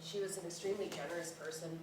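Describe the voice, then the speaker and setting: A woman's voice: on the low side, steady tone, fast talker, close to an everyday voice. An actress playing a grieving, defensive middle-aged mother in a staged play reading, blunt and sardonic. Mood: tender, reflective. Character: grieving mother of a grown daughter, prickly and defensive. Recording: echoey noisy room, distant mic.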